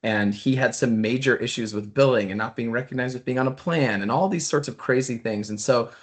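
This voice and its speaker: A man's voice: mid-range voice, brisk. A man giving a friendly, chatty company overview on a webinar, restarting sentences often. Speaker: sales executive. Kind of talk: business webinar presentation. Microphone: video call.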